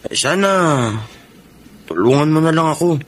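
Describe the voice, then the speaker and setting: A man's voice: a little high, expressive, moderate pace. A man with a Filipino accent playing a young, sheepish yet cocky gambling little brother in a radio drama. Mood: sheepish, guilty.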